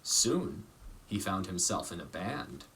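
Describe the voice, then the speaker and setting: A man reading a children's story aloud in a measured, expressive way, pausing for emphasis. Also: low-pitched, a little hiss or hum, poor audio. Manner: measured, dramatic phrasing with pauses for emphasis. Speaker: storybook reader.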